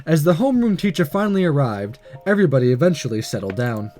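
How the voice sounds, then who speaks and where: A man hosting a video, reading a visual novel aloud in an expressive narrating style. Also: on the high side, quick pace, soft background music.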